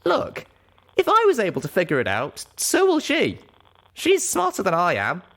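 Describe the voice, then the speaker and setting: A man's voice: sits high, huge pitch swings, moderate pace, bright, forceful tone, voice pushed up, heavily acted. A man voicing a young female pony heroine who speaks with exasperated, earnest insistence. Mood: exasperated, insistent.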